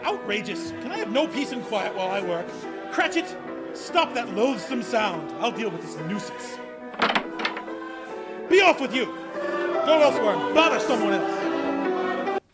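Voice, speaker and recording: An actor playing a bitter old miser in a live stage reading, speaking in a husky, clipped, scornful way in a large hall. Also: male voice, very high voice, very animated, a little slow, pauses often, over loud music, heavily acted. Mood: angry.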